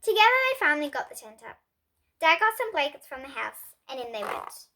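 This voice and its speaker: Lively, high-pitched young girl reading aloud. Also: brisk, slight background noise. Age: child. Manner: expressive, with emphatic character dialogue. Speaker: young presenter reading a children's book. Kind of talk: read-aloud bedtime story.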